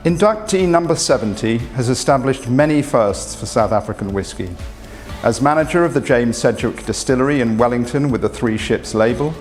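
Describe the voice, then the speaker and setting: A man's voice: medium pitch, expressive, moderate pace, soft background music. A man reading a formal, ceremonial scripted introduction as an awards announcer.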